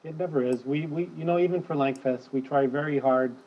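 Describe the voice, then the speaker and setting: A man's voice: fairly high pitch, fairly quick. A man hosting an interview, hesitant and full of fillers and restarts. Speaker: host. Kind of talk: casual interview. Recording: dull lo-fi sound.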